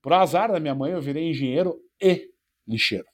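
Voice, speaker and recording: A man in his forties, a startup founder and podcast guest, telling his life story in a relaxed way with self-deprecating jokes. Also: a little high, brisk. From Brazil. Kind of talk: podcast interview.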